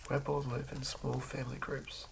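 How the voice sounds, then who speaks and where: A man doing a soothing ASMR reading of fantasy game lore in a hushed, breathy whisper, occasionally stumbling over words. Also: mid-range voice, level tone, moderate pace. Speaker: ASMR host. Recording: lo-fi recording with clear background noise.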